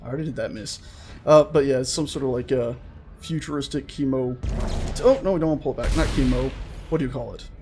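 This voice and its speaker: A man giving casual, chatty let's-play commentary on a horror game, full of jokey asides and an excitable, jumpy manner. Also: medium pitch, fast talker, soft background music. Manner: jokey, chatty asides to himself and the game's characters; jumpy, excitable, prone to swearing shouts. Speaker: gaming video host.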